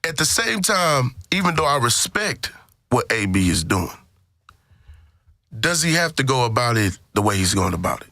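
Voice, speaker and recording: A man's voice: mid-range voice, big pitch swings, moderate pace, pushed and bright, dry recording, studio quality. An American man co-hosting a podcast, debating sports casually in an opinionated, profane way and repeating phrases for emphasis. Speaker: podcast co-host.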